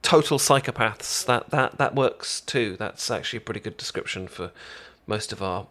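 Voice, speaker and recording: A British man playing a caring, chatty best friend in an intimate ASMR roleplay, talking casually with halting, stammering explanations. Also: on the low side, fairly bright tone, lightly acted. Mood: wry, hesitant. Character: caring, chatty male best friend teaching sushi making.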